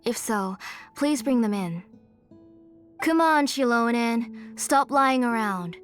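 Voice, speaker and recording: A woman's voice: a little high, expressive, slow, faint music behind. A woman voicing an older, doting mother in a video game, with a fussing, motherly manner. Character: grieving, doting older mother, retired forger.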